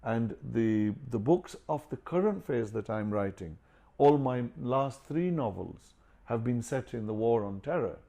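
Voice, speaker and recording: A man's voice: mid-range voice, lively intonation, measured pace, creaky, mellow. A man born in Pakistan, an author and publisher, speaking earnestly and outspokenly in an interview. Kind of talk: reflective interview talk.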